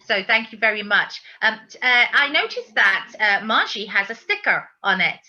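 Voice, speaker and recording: A woman hosting an interview, effusive in her praise and halting in her phrasing, on dull lo-fi audio. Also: on the high side, big pitch swings, pushed and bright. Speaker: host interviewer.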